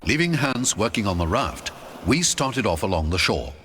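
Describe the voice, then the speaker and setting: A man's voice: on the low side, very animated, normal speed, sharp tone, slight background noise. A man narrating an adventure story in a dramatic, theatrical scripted style.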